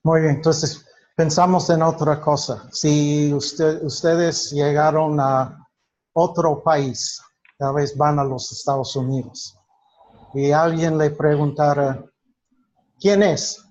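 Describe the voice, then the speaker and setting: A male teacher talks his class through a lesson at an unhurried, deliberate pace, often restarting his phrases and putting questions to the room. His voice sits a little high for a man. The recording is muffled and lo-fi. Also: steady tone, a little mellow. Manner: slow, halting delivery with many restarts, quizzing the class. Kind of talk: classroom lecture. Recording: dull lo-fi recording.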